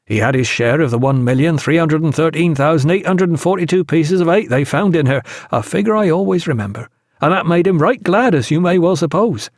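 A man's voice: a little high, dry recording, studio quality. A man voicing a proud sailor who spins family yarns in an audiobook.

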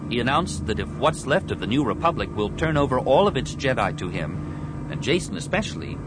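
A man's voice: mid-range voice, big pitch swings, fairly quick, background noise, voice pushed up, natural voice. A man voicing an earnest, slightly awkward teenage boy hero in an audiobook. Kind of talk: audiobook character dialogue.

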